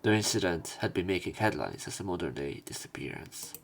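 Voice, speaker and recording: Low voiced guy reading a visual novel out loud, casual commentary, corrects himself now and then. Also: animated, brisk, creaky, a little hiss or hum. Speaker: let's play commentator.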